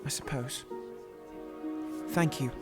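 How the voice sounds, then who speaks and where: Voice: slow, natural voice. A performer playing a proud, indignant young boy prince with earnest, haughty, formal speech in an audio drama. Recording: loud music under dialogue.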